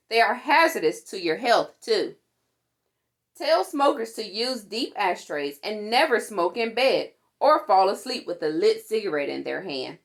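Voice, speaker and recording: A woman reading a children's safety book aloud in an animated, instructive manner. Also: mid-range voice. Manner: animated, instructive, acts out sound words. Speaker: storytime reader.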